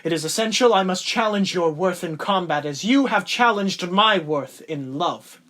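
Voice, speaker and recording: A man's voice: high voice, expressive, moderate pace, bright, forceful tone. A man playing an earnest, aggrieved lieutenant in love in an audio drama, with declamatory, formal period diction. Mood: challenging, resolute. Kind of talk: stage-play acting in an audio drama.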